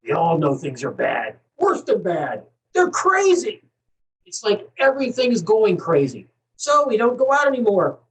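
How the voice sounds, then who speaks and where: A man playing a fed-up, impassioned TV news anchor in a dramatic monologue, ranting and exhorting with agitated emphasis. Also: high voice. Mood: grim, agitated. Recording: distant microphone.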